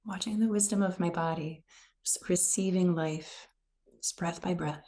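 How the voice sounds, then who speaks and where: A woman leading a guided meditation in a gentle, unhurried, soothing manner. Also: fairly low pitch, fairly even intonation. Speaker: meditation teacher.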